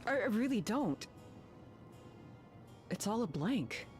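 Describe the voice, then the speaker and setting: A woman's voice: a little high, very animated, slow pace, a little mellow, faint music behind. A woman voicing a middle-aged village woman in a game cutscene who speaks hesitantly, unable to recall her past. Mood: puzzled. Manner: hesitant, searching for lost memories. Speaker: game character.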